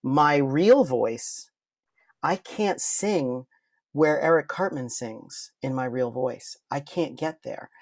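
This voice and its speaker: A man's voice: medium pitch, takes it slowly, creaky, no echo. A man speaking as a podcast guest, explaining his craft in casual conversation and often interrupting himself with a new thought. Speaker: guest, voice impressionist and performer.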